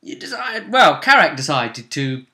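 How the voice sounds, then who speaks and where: A man recapping a tabletop role-playing session as game master, in a casual, animated storytelling manner. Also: fairly high pitch, measured pace.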